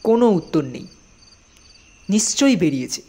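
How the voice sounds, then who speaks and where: A woman narrating a scripted audio story in an expressive storytelling manner. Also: on the low side, normal speed, slightly rough.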